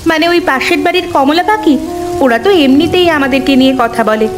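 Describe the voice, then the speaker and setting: A woman's voice: high voice, fairly quick, natural voice. A woman playing an aggrieved, questioning middle daughter-in-law in a cartoon drama, with a complaining manner. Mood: worried, questioning. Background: music.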